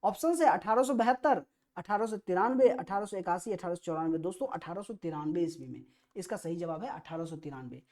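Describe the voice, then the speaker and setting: A woman's voice: fairly low pitch, lively intonation, fairly quick, darkish tone. A woman with an Indian accent teaching an exam-prep lesson, reading out questions and explaining answers with emphatic repetition of key phrases. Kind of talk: quiz-style history lesson, questions read out and explained.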